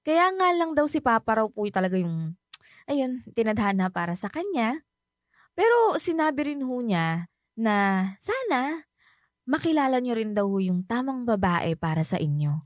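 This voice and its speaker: High-voiced, giggly young Filipino woman. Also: sing-song, moderate pace, dry recording, clean studio sound. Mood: chatty, open. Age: young adult. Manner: giggly, respectful, chatty. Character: cheerful, polite young woman, a friend's daughter. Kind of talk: Filipino radio drama dialogue.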